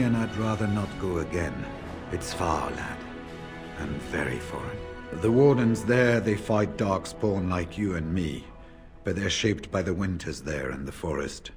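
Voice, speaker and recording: Deep-voiced seasoned veteran warrior. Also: male voice. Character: seasoned, plain-spoken veteran warrior of a warden order. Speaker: game voice actor. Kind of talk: fantasy RPG dialogue. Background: game music.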